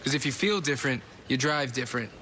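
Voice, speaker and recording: A man's voice: medium pitch, animated, quick pace, sharp tone, a little hiss or hum. A man giving a scripted, self-aware read as an actor in a public service ad.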